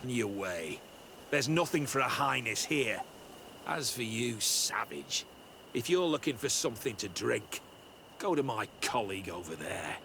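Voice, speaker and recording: A gravelly rude guard. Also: male voice, mid-range voice, sing-song, unhurried, bright, forceful tone, slight background noise, full character voice. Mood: dismissive. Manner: dismissive, gruff. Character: rude male gate guard, gruff and dismissive.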